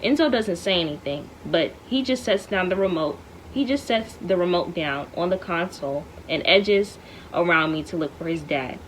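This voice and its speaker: A woman's voice: lowish voice, moderate pace, slight background noise, low-quality recording. A woman reading a children's novel aloud to her children, lifting her voice to mark the dialogue. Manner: lively, lifts her voice for quoted dialogue.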